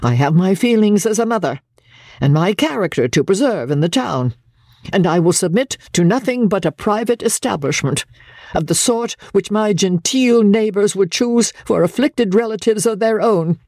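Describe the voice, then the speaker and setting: A woman's voice: mid-range voice, animated, moderate pace, a little mellow, no room sound, clean studio sound. A woman reading classic literature dramatically as a prim, self-righteous older mother, pompous, genteel and indignant in manner. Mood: haughty, insistent.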